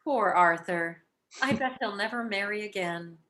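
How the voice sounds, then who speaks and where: A woman's voice: mid-range voice, a little slow, music in the background, close to an everyday voice, poor audio. A woman playing a clever, arch young socialite wife in a vintage-style radio play. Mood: playful teasing.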